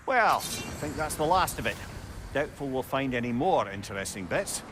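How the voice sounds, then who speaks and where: An actor playing a witty, talkative older sage with a wry storyteller's manner in a video game. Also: male voice, mid-range voice, very animated, normal speed, noisy background, lightly acted. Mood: curious. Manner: chatty, wry, lilting storyteller. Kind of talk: dramatic video game acting.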